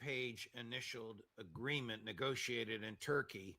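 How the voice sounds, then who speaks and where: A man asks interview questions very slowly, pausing often on fillers. His voice is gravelly, with a sharp, bright tone. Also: medium pitch. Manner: halting, with many filler pauses.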